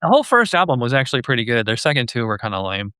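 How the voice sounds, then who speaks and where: Guy hosting a casual podcast, smooth clear voice, mid pitch, very dry sound. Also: big pitch swings, rapid-fire, sharp tone, no echo. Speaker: podcast host.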